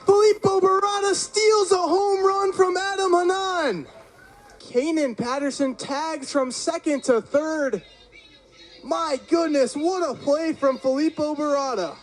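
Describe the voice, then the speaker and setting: A man's voice: very high-pitched, a little slow, faint music behind. A young man doing live radio baseball play-by-play, with vivid descriptive calls that rise with the action. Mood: excited. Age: young adult. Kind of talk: live radio sports commentary. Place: outdoors at a ballpark.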